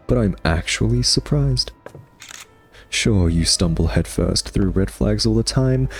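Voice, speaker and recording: A man playing a smug, teasing vampire who drawls taunts at a captive nemesis in an ASMR roleplay monologue. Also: low voice. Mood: gloating, teasing.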